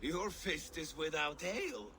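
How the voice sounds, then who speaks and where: An actor playing a jovial Viking at a feast in a video game, hearty and theatrical. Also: male voice, on the high side, animated, a little slow. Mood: teasing. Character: jovial Viking feast host issuing challenges. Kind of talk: video game character acting. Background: ambient noise.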